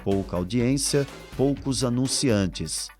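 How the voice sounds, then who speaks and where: Brazilian man narrating over soft music. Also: mid-range voice, slow. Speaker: documentary narrator. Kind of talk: scripted narration.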